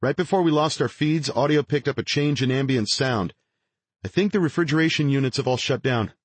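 Worried army comms tech, done by a male narrator, higher-pitched, urgent clipped reports, audiobook thriller. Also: fairly quick, husky, no room sound, natural voice. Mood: tense reporting. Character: anxious male military communications technician reporting trouble.

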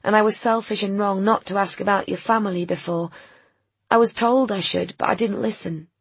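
A woman voicing a gentle, earnest young woman in an audiobook, pleading and full of emotion and pity. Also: fairly even intonation, fairly quick, slightly rough, no room sound. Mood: regretful. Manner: gentle, pleading, higher-pitched than the narration. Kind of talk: audiobook character dialogue.

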